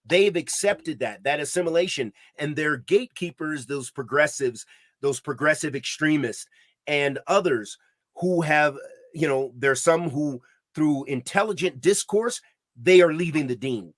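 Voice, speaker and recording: A man with a fairly high voice speaks on a podcast in an emphatic, preaching manner. He restarts his sentences often and scatters Arabic religious terms through his talk. The sound is dry and close. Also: a little slow, no room sound. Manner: grows louder and higher when heated. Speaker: podcast co-host. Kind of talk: impassioned podcast discussion.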